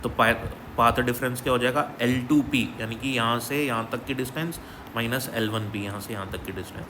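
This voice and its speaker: A man's voice: medium pitch, noisy background. Man explaining a physics exam problem. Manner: explanatory, repeats "ठीक है" as a check-in. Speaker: physics teacher.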